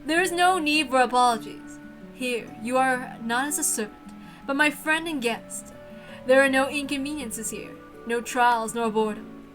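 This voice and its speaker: A woman's voice: high voice, moderate pace, a bit bright. A woman voicing a proud, gracious witch hostess in a visual novel reading, speaking in formal, stately phrases over music. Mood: warm, proud. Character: elegant, gracious witch hostess, grandly proud yet innocently friendly.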